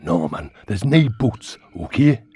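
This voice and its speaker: An actor playing a cheeky, chatty giant talking worm in a radio sitcom, with a gruff, husky Geordie voice and a blunt, rambling manner. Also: male voice, on the low side, very animated, measured pace, warm tone, dry recording, full character voice. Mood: exasperated. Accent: Geordie, northeast England. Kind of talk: comic radio sitcom acting.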